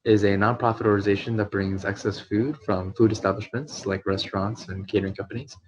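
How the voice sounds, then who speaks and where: A man's voice: fairly low pitch, steady tone, quick pace, noisy background. A man who runs a nonprofit, speaking from his apartment in a hesitant way with many fillers and restarts. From New York. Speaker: nonprofit CEO. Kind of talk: video call interview.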